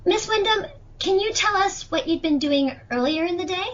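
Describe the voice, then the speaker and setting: A woman plays a young female defense lawyer with a high voice. She questions in a pointed, theatrical way, as in a courtroom radio play. The recording is dull and lo-fi. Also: animated, normal speed. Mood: probing. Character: young female defense attorney, chatty then incisive, in courtroom. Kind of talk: amateur audio drama courtroom acting. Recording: dull lo-fi audio.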